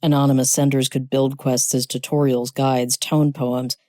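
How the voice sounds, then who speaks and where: Mellow storyteller in a dry recording. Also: medium pitch, little pitch movement, normal speed, no echo. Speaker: story narrator. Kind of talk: scripted short-story narration.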